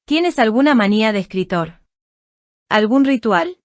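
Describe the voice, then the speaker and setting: A woman hosting a radio show, reading a guest introduction and asking interview questions in a measured way. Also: on the high side, expressive, no room sound, studio quality.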